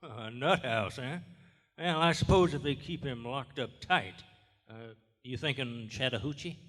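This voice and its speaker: Bright, folksy Southern sheriff. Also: male voice, mid-range voice, measured pace. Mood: puzzled. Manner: drawling, colloquial, blunt. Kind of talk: live old-time radio drama acting. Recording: reverberant theater hall.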